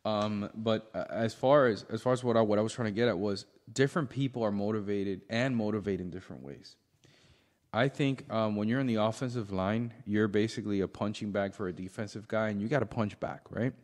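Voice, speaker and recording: A man co-hosting a sports podcast, talking casually in a halting, explanatory way. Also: lowish voice, darkish tone. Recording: echoey room with long reverb.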